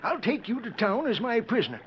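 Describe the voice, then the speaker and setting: A very high-pitched gruff, scheming bounty hunter from an old radio western. He threatens and bargains in a folksy American Western drawl. The sound is dull, lo-fi 1940s radio. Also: very animated, fairly quick, slight background noise.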